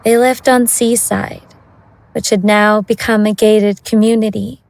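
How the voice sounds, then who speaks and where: A woman's voice: medium pitch, steady tone, unhurried, creaky, a little mellow. A woman narrating a scripted audio drama as its storyteller.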